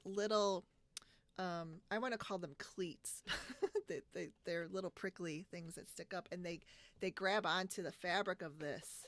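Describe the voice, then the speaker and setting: A woman chatting casually in a bubbly, giggly way, recorded at home on a video call. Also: on the low side. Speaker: podcast host. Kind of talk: casual chat about sewing favorites.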